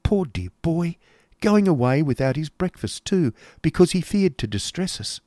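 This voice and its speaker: Man playing a middle-aged mother, storybook, anxious, tender, soft plaintive tone, raised pitch, dry sound. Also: big pitch swings, moderate pace, dry recording.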